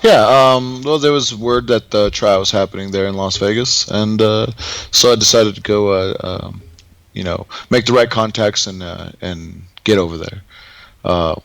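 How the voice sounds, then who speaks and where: Wrestler guest, man, lowish voice, slow hesitant answers full of fillers, dry close recording. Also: gravelly, a bit bright, no echo. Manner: halting, many fillers and restarts. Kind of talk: interview answers.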